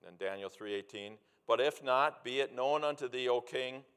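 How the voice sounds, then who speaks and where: A mature man delivers a sermon in a mid-range voice, with long reverb from a big hall around every word. He speaks earnestly and deliberately, moving between storytelling and exhortation, and repeats key phrases to press them home. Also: medium pitch, fairly bright tone, smooth. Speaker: preacher, former missionary.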